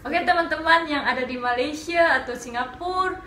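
A young woman from Indonesia hosting a casual vlog chat, animated and quick to laugh as she tells stories. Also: high-pitched, reverberant, a little hiss or hum. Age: young adult. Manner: animated, laughing, playful storytelling. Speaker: vlog host. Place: small bedroom, indoors.

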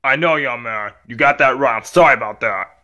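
A man's voice: medium pitch, fairly quick, pushed and bright, dull audio, voice pushed down, lightly acted. A man voicing a stern, weary dad in an amateur skit, admonishing his rowdy child in a repetitive, subdued way. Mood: calm, resigned.

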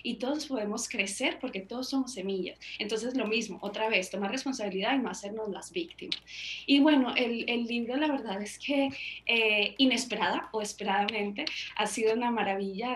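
A woman's voice: medium pitch, a bit bright. Earnest woman, echoey video call. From Colombia. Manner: earnest, gestures with hands while talking. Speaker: author and guest. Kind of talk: reflective interview answers. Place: small room at home. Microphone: wireless earbuds on a video call.

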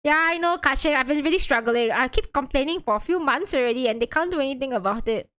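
A chatty woman streamer talks casually to her viewers between lines of the game. Her voice sits high and moves around a lot, relaxed and self-deprecating. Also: fast. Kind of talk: casual live-stream chat.

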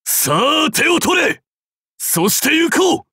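An actor playing a proud, battle-hungry samurai warlord in a game, with grand, archaic, declamatory speech. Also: male voice, lowish voice, slow pace, no room sound, clean studio sound. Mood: fiery, exultant. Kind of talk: anime game voice lines.

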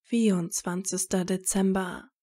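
A woman's voice: medium pitch, steady tone, unhurried, dark tone. A woman narrating a scripted story as an audiobook reader.

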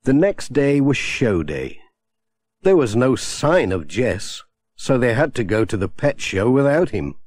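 A man narrating a children's storybook in a gentle, expressive way on a close studio mic. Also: medium pitch, unhurried, no echo. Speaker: audiobook narrator.

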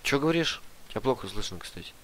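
A man's voice: mid-range voice, expressive, fast, fairly bright tone. A young man streaming an online roleplay game, arguing in character with a pushy, demanding, mock-official manner. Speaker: gamer streamer playing a detainee in an online roleplay server. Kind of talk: in-character roleplay chat over voice chat. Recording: game audio and noise behind the voice. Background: clear noise and game car sounds.

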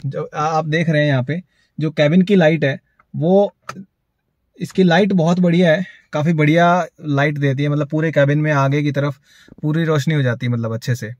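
A man's voice: a little high, dry recording, dull audio. A man in his thirties from India, talking casually into a phone held at arm's length inside a car at night, reviewing its features. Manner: chatty, repetitive, explains while showing. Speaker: car vlogger.

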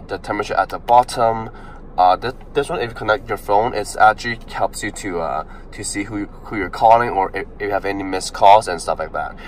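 A man's voice: fairly low pitch, a little hiss or hum. A young man casually walking viewers through a car's dashboard, recorded on a phone in a parked car, talking loosely with fillers and restarts. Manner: chatty, lots of fillers and restarts. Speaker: car reviewer. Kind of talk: casual car walkthrough vlog.

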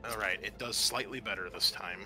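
A man's voice: lowish voice, a little fast. A man acting as game master, explaining rules and narrating action casually and a bit hesitantly. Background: music behind the voice.

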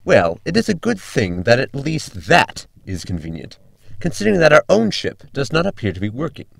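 A man plays a sardonic crewman in a science fiction comedy audio play. His gravelly, heavily creaking voice swoops dramatically up and down as he makes droll, unimpressed remarks. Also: mid-range voice, wildly swooping pitch, moderate pace, fairly bright tone, no room sound, heavily acted. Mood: dryly sardonic. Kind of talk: sci-fi sitcom audio drama acting.

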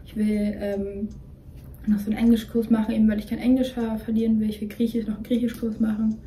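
A woman's voice: a little high, flat delivery, quick pace, dark tone, slight background noise. A young woman talking casually as a co-host, rambling and slipping English words into her speech. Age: young adult. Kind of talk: casual chat. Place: dim bedroom, indoors.

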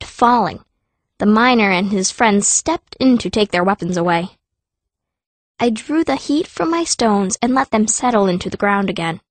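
A woman's voice: medium pitch, no room sound, close to an everyday voice. A woman playing a fierce, wry teenage girl mage, narrating her own story in an audiobook. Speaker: first-person narrator.

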